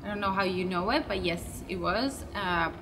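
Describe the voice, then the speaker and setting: A woman's voice: mid-range voice, moderate pace. Chatty woman, distant and noisy. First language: Swedish. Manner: chatty, rambling, corrects her own words. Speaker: web show host. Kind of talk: casual vlog answering fan questions.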